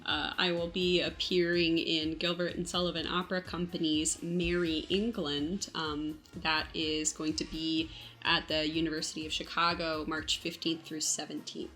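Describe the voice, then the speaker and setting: A woman performer chats casually on a podcast. Her voice sits a little low but sounds bright and crisp, with faint music present. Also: little pitch movement, normal speed. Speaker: performer, singer.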